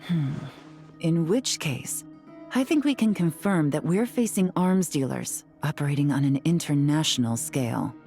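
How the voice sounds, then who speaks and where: An actress playing a cool, shrewd female intelligence agent in a video game, calm, knowing and deliberate. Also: low voice, faint music behind. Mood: calm, analytical. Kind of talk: video game character acting.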